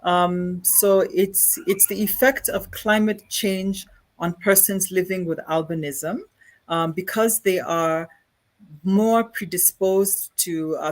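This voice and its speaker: A guest speaker from Kenya with a Kenyan accent, answering questions in a halting way and often repeating words while explaining. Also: mid-range voice. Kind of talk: answering questions at an online conference.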